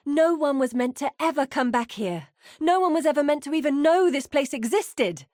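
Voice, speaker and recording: An actress playing a grave, haunted yet wry woman who guides exiles in a fantasy game, speaking in a measured, earnest, dramatic way. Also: a little high, no room sound, studio quality, close to an everyday voice. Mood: desperate, angry. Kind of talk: scripted fantasy game voice acting.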